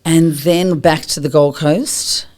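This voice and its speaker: A woman from Australia with a husky voice, a yoga teacher chatting as a guest in a podcast studio. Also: sits low, steady tone, takes it slowly, a little mellow. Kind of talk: casual podcast interview.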